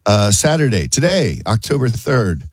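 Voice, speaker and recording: A man's voice: fairly low pitch, very animated, moderate pace, breathy edge, dry recording, studio quality. A man hosting a morning gardening radio show, talking with a cold in a wry, joking, rambling way. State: has a cold. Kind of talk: casual morning radio chat.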